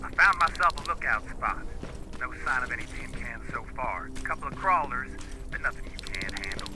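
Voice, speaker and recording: An actor playing a cocky, foul-mouthed resistance lookout in a video game, flippant, sneering and slangy. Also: male voice, medium pitch, expressive, a little fast, over music, natural voice. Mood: flippant, bored. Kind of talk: video game drama acting.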